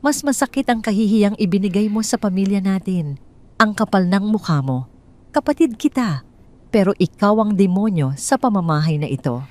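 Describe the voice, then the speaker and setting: A woman voicing an enraged grown man who scolds his sister in a low, contemptuous voice. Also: animated, moderate pace, a little mellow, lightly acted. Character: furious older brother, grown man, harsh.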